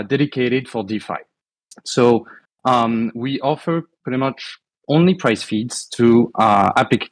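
A man's voice: mid-range voice, no echo. Man, French accent, casual guest, lots of ums, upbeat, dry close mic. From France. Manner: many fillers, relaxed and upbeat. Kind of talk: casual podcast chat.

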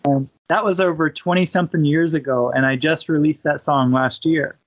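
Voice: mid-range voice, steady tone, normal speed. A podcast host talking conversationally in an interview, reflective and supportive.

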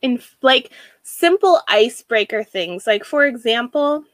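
American woman, slightly high voice, unhurried and close-sounding. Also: animated, fairly bright tone, dry recording. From Washington state, United States. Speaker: speaker sharing a personal story. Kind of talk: reflective personal monologue.

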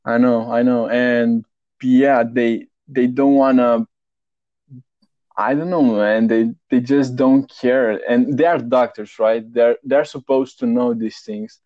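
A man's voice: medium pitch, steady tone, takes it slowly, no room sound, highs cut off. A man in his twenties from Romania, a guest talking frankly and plainly in a casual interview, often repeating words while he thinks. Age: 20s.

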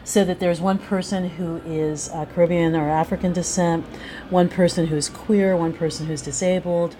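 A woman's voice: fairly low pitch, steady tone, moderate pace, warm tone, slight background noise. A woman being interviewed as a guest playwright, talking conversationally with effusive, emphatic praise.

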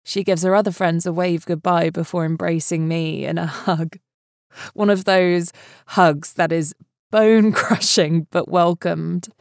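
A woman reads scripted narration with measured, clear phrasing. Her voice sits a little low and the sound is dry, with no room echo. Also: fairly even intonation. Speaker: podcast announcer and reader.

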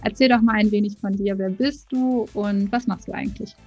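A woman's voice: mid-range voice, steady tone, brisk, darkish tone, highs cut off. Woman hosting a podcast, music behind. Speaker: podcast host. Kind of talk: scripted podcast intro and interview.

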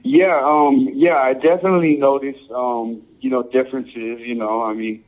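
A man's voice: medium pitch, animated. Laid-back man, slightly muffled recording. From Samoa and Hawaii. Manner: laid-back, halting, full of "um" and "you know". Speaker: reggae musician guest. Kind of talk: casual interview answers.

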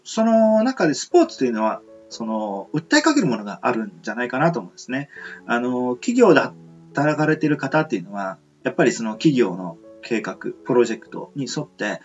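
A man's voice: mid-range voice, sing-song, a little fast, soft background music. A man hosting an amateur radio show in a rambling, reflective monologue, hesitant and searching for words in a polite, earnest way. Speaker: radio host. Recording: dull lo-fi audio.

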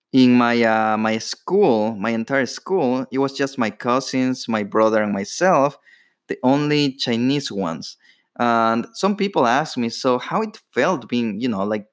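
A man in his thirties hosts a solo podcast, talking in English with an Ecuadorian Spanish accent. His talk is casual and rambling, with loose phrasing and plenty of fillers. His voice sits at an ordinary middle pitch. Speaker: podcast host.

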